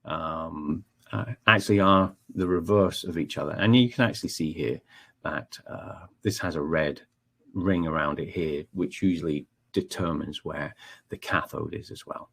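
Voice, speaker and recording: Scottish male trainer, deep and husky, relaxed explainer with plenty of ums. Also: normal speed. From Scotland. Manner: explanatory, frequent ums and checking okay. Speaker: technical trainer. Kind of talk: technical webinar presentation.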